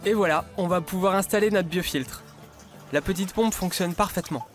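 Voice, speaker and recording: Playful high-voiced male narrator. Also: normal speed. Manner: light, playful and self-mocking. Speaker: crew member narrating the episode. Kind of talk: scripted documentary voice-over. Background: music.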